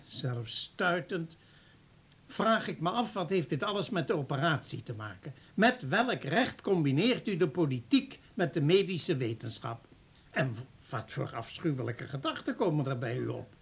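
Indignant older professor, gravelly and husky. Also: male voice, on the high side, full character voice. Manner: indignant, insistent questioning. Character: indignant older male professor, hospital director, principled. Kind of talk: radio play acting.

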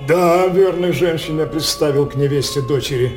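An actor playing a tyrannical, feverishly passionate king in a declamatory radio drama style, with drawn-out words and sudden outbursts. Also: on the high side, natural voice. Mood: imperious. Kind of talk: Russian theatrical radio drama acting. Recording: roomy, distant voice over loud music.

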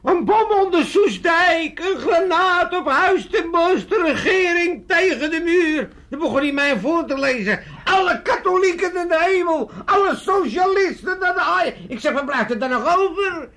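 A man's voice: very high voice, lively intonation, normal speed, few pauses, sharp tone, heavily acted. An older man playing a bossy, cantankerous family patriarch in a comic radio play, theatrical and blustering. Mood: agitated recounting. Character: cantankerous, bossy old Amsterdam family patriarch with grand ambitions.

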